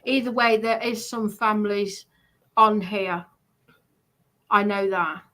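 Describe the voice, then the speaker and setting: Insistent, halting woman in casual chat. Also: medium pitch, fairly even intonation, fairly bright tone, rough recording. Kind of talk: casual chat, recounting personal grievances.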